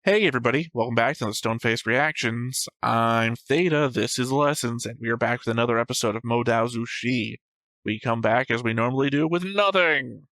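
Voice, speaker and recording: A man's voice: medium pitch, brisk, fairly bright tone, no room sound. A man with a mid-range voice hosts a reaction show. He talks in a casual, conversational way, recorded dry with very little room sound. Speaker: host of an anime reaction show.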